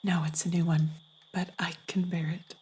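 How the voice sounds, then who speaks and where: A frail young girl in a literary audio play. Her voice is extremely breathy, almost a whisper. She is gentle and hides her sorrow. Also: on the low side, flat delivery, normal speed, poor audio. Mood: weak, sorrowful. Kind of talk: audio play acting of a period novel.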